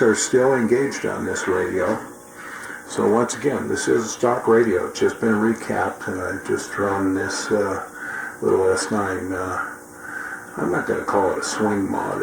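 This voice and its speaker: An American man giving a casual, rambling, folksy demonstration and chatting as a radio hobbyist. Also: fairly low pitch. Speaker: radio repair hobbyist and CB operator. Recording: clear background noise.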